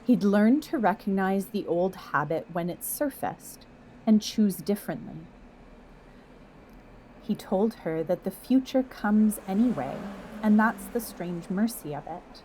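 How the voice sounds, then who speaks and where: A woman reading a scripted literary story as narrator, in a measured, reflective manner. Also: medium pitch, lively intonation, dark tone, slight background noise, poor audio.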